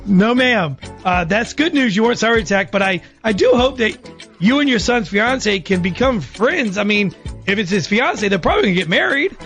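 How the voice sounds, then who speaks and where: A woman's voice: medium pitch, lively intonation, normal speed, a bit bright. A woman working as a radio prank caller, talking politely and a little hesitantly on a phone conversation. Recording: dull lo-fi audio, music behind.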